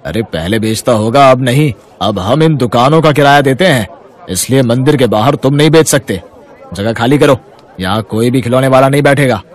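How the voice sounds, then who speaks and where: A man acts out a bossy shopkeeper in a moral-story cartoon. He is brusque, scolding and hard-hearted, with a medium-pitched voice. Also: moderate pace, some chatter behind, natural voice. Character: bossy, hard-hearted male shopkeeper shooing away poor vendors.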